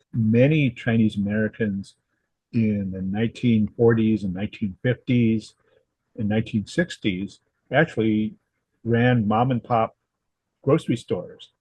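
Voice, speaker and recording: A man in his seventies from Southern California, answering interview questions in a thoughtful, halting way with many fillers and restarts. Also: on the low side, a little mellow, breathy edge, dry recording, low-quality recording. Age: 70s. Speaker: guest, historical society president.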